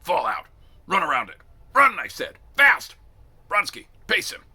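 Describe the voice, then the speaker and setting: A man's voice: high-pitched, wildly swooping pitch, unhurried, pushed and bright, husky, pitched above the performer's own voice, heavily acted. A man voicing a contemptuous military drill sergeant in a book reading, barking theatrical insults with heavy emphasis. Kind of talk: dramatic read-aloud of a military novel.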